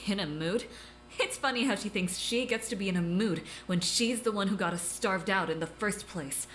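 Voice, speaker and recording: A woman's voice: mid-range voice, moderate pace, a bit bright, slight background noise, close to an everyday voice, rough recording. A woman playing a dry, cutting woman doctor. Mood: scornful. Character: sharp-tongued woman doctor, blunt and scornful. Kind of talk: audio drama acting.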